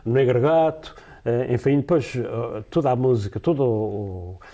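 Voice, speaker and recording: A man's voice: medium pitch, unhurried, warm tone. A man in his sixties from the Azores with a European Portuguese accent, talking as a guest in a TV studio, hesitant and full of fillers and restarts. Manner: hesitant, many fillers and restarts, laughs easily. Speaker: musician guest. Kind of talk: casual studio interview. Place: TV studio set, seated in a leather chair.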